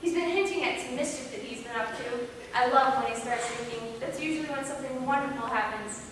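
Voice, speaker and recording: Female stage role, acted by a woman: very high, bright voice, amateur theatre drama, heard from afar. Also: a little fast, natural voice. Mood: dreamy, excited. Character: teenage girl reading a diary aloud, and her stern, wounded aunt. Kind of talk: amateur one-act stage play acting. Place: performed on stage in a hall, recorded from far off. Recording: distant, echoey hall stage recording with noise.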